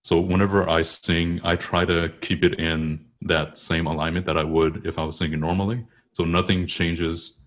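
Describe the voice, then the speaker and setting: Low-voiced man explaining vocal technique. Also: little pitch movement, moderate pace, few pauses. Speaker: guest singer and choral bass. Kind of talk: informal technical explanation in an interview.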